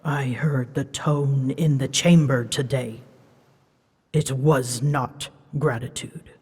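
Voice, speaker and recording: A woman voicing a proud, scolding female dragon who rules seas and storms, imperious and theatrical with hushed, breathy phrases, in a scripted ASMR audio drama. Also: extremely low pitch, fairly even intonation, slow pace, long reverb tail, exaggerated voice. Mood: weary, warning.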